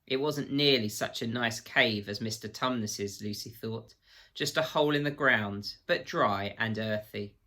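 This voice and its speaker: Man reading a story, bright voice. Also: fairly low pitch, unhurried. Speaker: teacher reading to pupils. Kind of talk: children's storybook narration.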